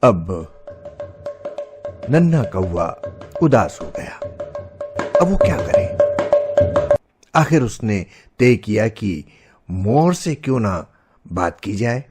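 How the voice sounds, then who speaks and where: A man narrating a scripted children's story as storyteller, expressive and didactic. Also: lowish voice, slow, long pauses, loud music behind the voice.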